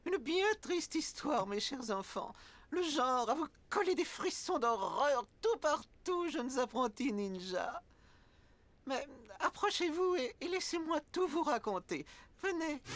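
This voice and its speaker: A woman's voice: high-pitched, lively intonation, measured pace. A woman playing a theatrical, slangy old cleaning lady who wistfully tells stories in a cartoon dub. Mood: nostalgic, dramatic. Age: older. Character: nostalgic old cleaning lady, former tough biker girlfriend. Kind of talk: dubbed cartoon storytelling with retro slang. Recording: loud music behind the voice.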